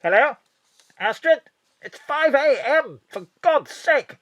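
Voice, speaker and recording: Someone playing a flirty spy chief. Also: male voice, very high-pitched, expressive, slow, a bit bright, exaggerated voice. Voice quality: flirty, suggestive. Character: flirtatious male spy chief, roused from sleep.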